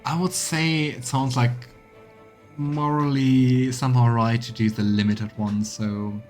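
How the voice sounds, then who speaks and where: A male streamer chats casually through a game playthrough. His voice sits at a medium pitch, and soft game music plays behind him. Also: level tone, measured pace. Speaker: let's play streamer. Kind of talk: casual gaming commentary.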